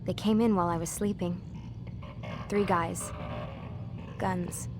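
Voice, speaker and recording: An actress playing a young scientist in a video game, earnest, weary and guarded, speaking in a confiding, halting way. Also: female voice, on the low side, steady tone, over music. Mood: troubled, confiding. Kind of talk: naturalistic game dialogue.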